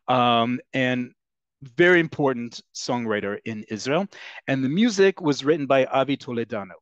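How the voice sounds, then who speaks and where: A man leads a Hebrew lesson over a video call, explaining earnestly and filling pauses with ums. His voice sits at an ordinary pitch. The call audio is dull and close, with no room sound. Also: dry recording. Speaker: Hebrew teacher. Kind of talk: online class lecture.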